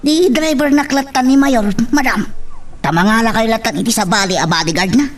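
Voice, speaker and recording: Woman voicing a dutiful bodyguard, fairly high voice. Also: a little fast, faint music behind, natural voice. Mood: respectful. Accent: Ilocano Filipino. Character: deferential, dutiful injured bodyguard. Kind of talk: Ilocano radio drama acting. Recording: slightly distant microphone.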